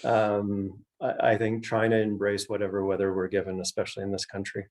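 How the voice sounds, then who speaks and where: Low-voiced, reflective Canadian man. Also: completely flat delivery, fairly quick, a little mellow. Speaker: author and outdoor education director being interviewed. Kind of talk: thoughtful interview answers at an online book launch.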